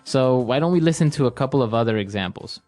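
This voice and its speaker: A man's voice: medium pitch, animated, fast talker, darkish tone, faint music behind. A man co-hosting an English-learning podcast, agreeing with his partner and adding examples in casual chat. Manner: agreeable, affirms and adds examples. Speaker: podcast co-host, English teacher.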